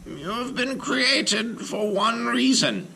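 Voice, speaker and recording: A man voicing an elderly male rabbi, solemn and commanding, his lines raised high and urgent, at times whispered. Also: expressive, takes it slowly, creaky, sharp tone, slight background noise, exaggerated voice.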